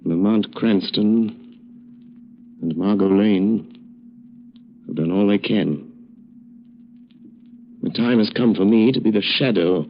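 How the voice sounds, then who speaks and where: An actor playing a resolute, protective gentleman sleuth, firm and deliberate in old radio drama style. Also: lowish voice. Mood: solemn, resolute. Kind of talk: 1940s radio acting. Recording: dull, hissy old radio recording.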